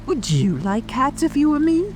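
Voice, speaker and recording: A woman plays a huffy storybook mouse, high and shrill, with very lively swoops of pitch. The creature is passionate, haughty and quick to take offence. Soft music and rain run behind the voice. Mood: indignant. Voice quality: shrill, trembling. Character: touchy, indignant, easily offended mouse in a storybook. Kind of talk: dramatic audiobook character reading. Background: music, rain ambience.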